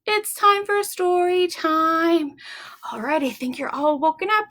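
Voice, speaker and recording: High-pitched woman leading children's story time. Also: unhurried. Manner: encouraging, animated, addressing young children directly; simple repeated phrases and questions to the listener. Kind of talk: children's story time with chants, songs and simple explanations.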